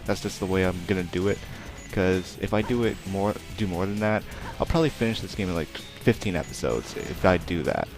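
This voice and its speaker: Quiet low-voiced young man rambling. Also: a little fast, hardly any pauses. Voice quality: naturally quiet voice. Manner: rambling, chatty, self-deprecating, many fillers. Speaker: let's play commentator. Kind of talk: casual gameplay commentary. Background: game music.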